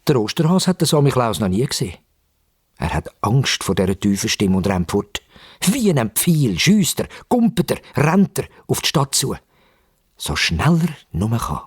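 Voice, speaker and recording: A man narrates for young children with a Swiss German accent. His voice is markedly husky and moves widely in pitch. He uses dramatic pauses and repetition, with music underneath. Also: big pitch swings.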